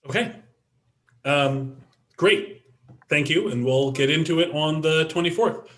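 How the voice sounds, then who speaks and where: A man chairing a city council meeting, moving briskly through the agenda with light asides. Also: on the high side, fairly bright tone.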